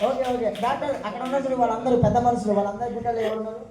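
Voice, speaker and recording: High, quick-talking woman hosting, echoey room. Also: steady tone. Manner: exclaiming, questioning, repeats for the children. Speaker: puppet show host at children's Sunday school. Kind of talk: animated question-and-answer with puppet and children. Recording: echoey room, clearly noisy background.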